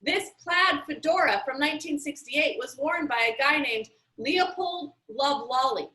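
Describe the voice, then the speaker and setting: A woman reading as a clever, playful girl from a children's storybook, keen on puzzles. Her voice is high and bright, emphatic and exclaiming, with a roomy echo around it. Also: unhurried. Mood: excited, triumphant.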